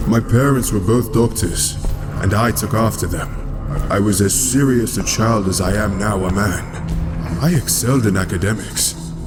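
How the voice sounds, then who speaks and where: Man acting a serious rational doctor-warrior, formal, measured, solemn monologue, music behind. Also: fairly low pitch, lightly acted. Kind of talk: comic book dub monologue.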